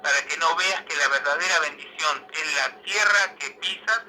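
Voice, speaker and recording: Bright, forceful man reading a manifesto. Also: on the high side, normal speed, a little hiss or hum, rough recording. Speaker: political commentator. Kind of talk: reading a political manifesto aloud.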